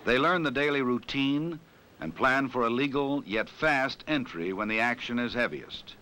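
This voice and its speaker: An American man reads scripted narration for a 1960s police training film in a formal, authoritative, measured manner. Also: mid-range voice, sharp tone, a little hiss or hum.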